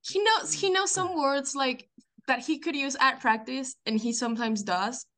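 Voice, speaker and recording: A woman's voice: high voice, moderate pace, a bit bright. A young woman from Colombia with a Colombian Spanish accent, Spanish being her first language, speaking as an interview guest in a hesitant way with lots of "like" fillers. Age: young adult. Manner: hesitant, many "like" fillers, laughs often. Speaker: college diver, guest. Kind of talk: casual interview chat.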